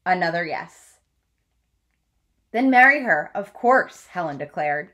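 A woman in her thirties reading a book aloud like a teacher, in a measured, deliberate way with clear phrasing. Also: on the low side, lively intonation, fairly bright tone, muffled recording. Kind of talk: read-aloud of a children's biography. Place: small room at home, indoors.

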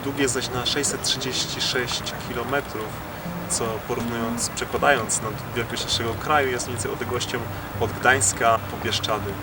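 A man's voice: mid-range voice, steady tone, moderate pace, far from the mic, heavy background noise. A man narrating an informal travel vlog to his viewers. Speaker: travel vlogger.

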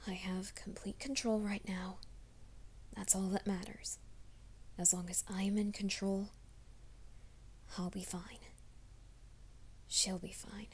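A woman's voice: medium pitch, level tone, slow, pauses often, husky. Woman voicing a whispering young werewolf man. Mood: whispered panic. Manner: mostly hushed, urgent whispering to himself. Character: young male werewolf soldier, anxious and secretive. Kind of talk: ASMR roleplay audio.

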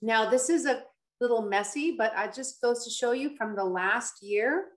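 A woman's voice: on the high side, normal speed. A middle-aged woman physician giving an informative slide presentation over a video call, explaining things in a conversational way. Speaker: pediatric infectious disease physician, panelist. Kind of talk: informative slide presentation at an online town hall.

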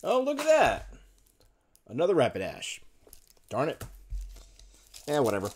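A man in his thirties at home, casually reading out card names as he opens packs, with sudden bursts of excitement. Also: fairly high pitch, measured pace, slight background noise. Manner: lists card names in a running murmur, brief excited outbursts. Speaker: card-opening video host. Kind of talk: casual unboxing commentary. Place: home room with a desk. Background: crinkling card wrappers.

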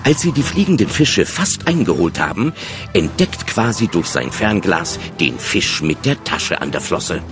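A man's voice: low-pitched, big pitch swings, measured pace, over music. A man narrating a children's audio drama as its storyteller.

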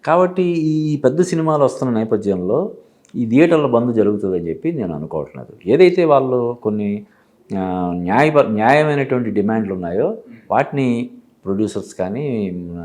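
A man giving explanatory commentary as an interview guest, halting and repeating phrases as he goes. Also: fairly low pitch, sing-song, darkish tone. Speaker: film industry analyst, studio guest. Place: TV studio.

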